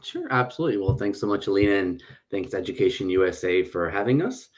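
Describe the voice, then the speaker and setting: A man's voice: lowish voice, lively intonation, fast talker. A man working as a university recruiter, introducing himself in a webinar with frequent fillers. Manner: friendly, filler-heavy. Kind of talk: webinar presentation.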